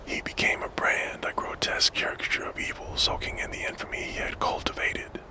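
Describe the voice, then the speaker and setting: A man narrating true crime in a soft, fully unvoiced ASMR whisper, slow and measured, close to the microphone.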